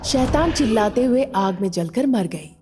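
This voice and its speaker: A woman's voice: fairly high pitch, animated, normal speed, heavy background noise. A woman storyteller reading scripted narration of a tale. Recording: loud music behind voice.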